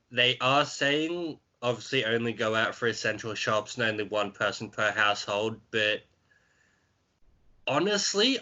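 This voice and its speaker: A man's voice: on the low side, pushed and bright, muffled recording. A young man from Australia co-hosting a podcast, chatting casually in a laid-back, blunt way. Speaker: podcast co-host. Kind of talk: casual chat.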